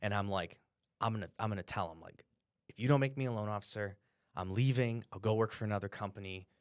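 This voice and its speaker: An American man from Cleveland telling stories casually on a podcast, animated and profane, with bro-like slang. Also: lowish voice, fast talker, no echo, clean studio sound. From Cleveland, Ohio, United States. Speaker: podcast co-host. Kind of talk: casual storytelling.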